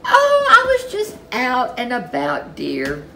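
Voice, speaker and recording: A woman voicing a kindly old granny in a storybook, gentle and affectionate. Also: on the high side, huge pitch swings, slow, reverberant, distant mic, a little hiss or hum, pitched above the performer's own voice, full character voice, low-quality recording. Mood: fond, amused.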